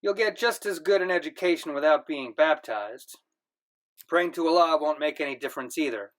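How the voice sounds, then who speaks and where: A stern, bewildered middle-aged father fires off brusque, puzzled questions, read by a man. The voice sits fairly high and lifts when he insists. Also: moderate pace, fairly bright tone, lightly acted.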